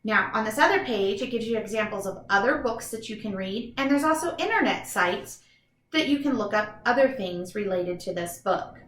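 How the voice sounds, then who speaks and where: A woman's voice: medium pitch, expressive, normal speed, a bit bright, roomy sound. A woman reads a children's book aloud like a teacher, enthusiastic and instructive, with chatty explanatory asides to young listeners.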